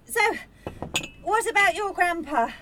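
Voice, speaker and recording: A woman's voice: very high-pitched, animated, unhurried, bright, forceful tone, slight background noise, heavily acted. A woman playing a scatty, overworked young student in a radio play, breezy, blunt and rambling as she talks. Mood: chatty, scatty. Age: young adult. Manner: breezy, rambling, self-interrupting. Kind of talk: naturalistic radio play acting.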